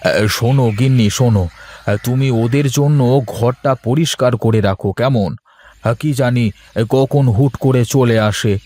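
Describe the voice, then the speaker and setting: A man voicing a warm, excitable village elder brother in a cartoon story, with a homely, fussing manner. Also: mid-range voice, unhurried, natural voice. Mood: delighted.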